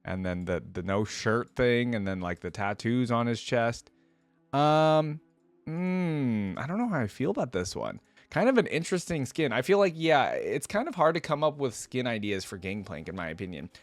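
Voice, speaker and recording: A man's voice: medium pitch, very animated, normal speed, no room sound. A man giving casual, informal reaction commentary as a video host, thinking aloud as he goes.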